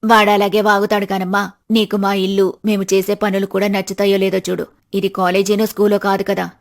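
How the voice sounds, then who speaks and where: A woman's voice: mid-range voice, level tone, brisk, vocal fry, pitched below the performer's own voice, lightly acted. A woman voicing an older village mother in a family story, homely, earnest and plain-spoken, with affection in her way of talking. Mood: frank, advising.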